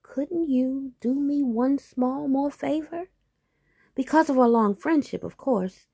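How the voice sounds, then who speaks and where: A woman's voice: sits high, gravelly, dark tone, no room sound, clean studio sound, pitched above the performer's own voice, full character voice. A woman voicing a hungry, pleading, easily panicked male tiger in a storybook tale, drawing out the words in overdramatic pleas. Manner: drawn-out, wheedling, overdramatic pleading. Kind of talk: animated storybook character voice.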